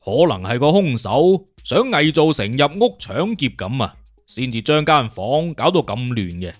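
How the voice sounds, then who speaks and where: Man acting a cocky, animated Cantonese detective consultant. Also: medium pitch, no echo, clean studio sound, soft background music. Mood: musing, investigating. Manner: self-assured, emphatic, deliberate lecturing. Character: witty, conceited male detective consultant explaining deductions. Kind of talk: audiobook character acting.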